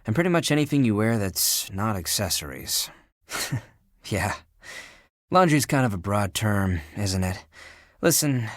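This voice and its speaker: A man voicing a flirty, laid-back but insecure living pile of laundry in a dating video game, talking in a casual, teasing, breathy way. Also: on the low side, no room sound, studio quality.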